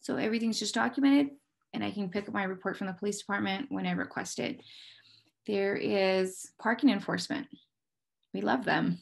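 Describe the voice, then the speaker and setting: A woman's voice: medium pitch, brisk, gravelly. American woman explaining her work, candidly. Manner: candid, explanatory, poses rhetorical questions and answers them. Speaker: police dispatcher, interview guest. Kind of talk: conversational interview answers, explaining a career.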